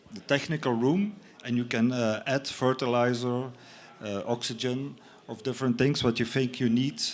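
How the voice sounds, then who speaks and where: Slow, halting man presenting. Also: medium pitch. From Belgium. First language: not English. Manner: halting, many fillers and restarts. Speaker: conference speaker. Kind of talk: presentation talk. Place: conference lounge in a stadium.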